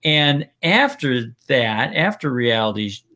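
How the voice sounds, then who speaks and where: Man, Wisconsin accent, interview host, casual and rambling, mid-pitched voice. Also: very animated, unhurried, pushed and bright. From Wisconsin, USA. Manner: rambling, restarts sentences often. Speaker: podcast host.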